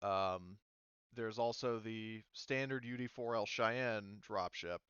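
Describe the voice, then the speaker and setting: Rambling man running a game, smooth voice. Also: fairly low pitch, slow, a bit bright, no echo, lo-fi sound. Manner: loose and rambling, many fillers. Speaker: game master. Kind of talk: running a tabletop game, casual.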